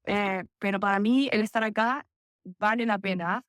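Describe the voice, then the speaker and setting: A woman's voice: medium pitch, normal speed, dry recording, clean studio sound. A young Chilean woman with a Chilean Spanish accent, a guest telling stories in a casual podcast chat. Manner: chatty, animated storytelling with laughs and self-corrections. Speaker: interview guest, physiotherapist.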